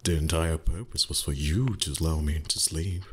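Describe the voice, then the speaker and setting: Man acting a very deep, husky, haughty dragon. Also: fairly bright tone, heavily acted. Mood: smug, mocking. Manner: slow, condescending, flustered tsundere pauses. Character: haughty male dragon, teasing, secretly fond. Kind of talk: intimate roleplay ASMR acting.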